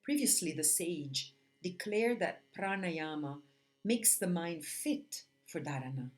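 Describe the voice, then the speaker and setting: Casting: female yoga teacher, slightly low voice, reads a spiritual text with deliberate phrasing and pauses. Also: lively intonation, faint music behind. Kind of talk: read-aloud spiritual lesson with Sanskrit chanting.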